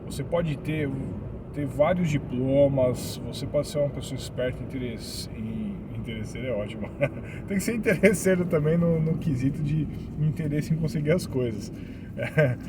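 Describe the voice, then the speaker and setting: A man's voice: on the high side, normal speed. A Brazilian man with a Brazilian Portuguese accent giving casual, chatty advice to viewers, often tagging on little questions. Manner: chatty, repeats words, tag questions. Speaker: vlogger living abroad. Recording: distant mic, loud traffic noise. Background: cars and street noise.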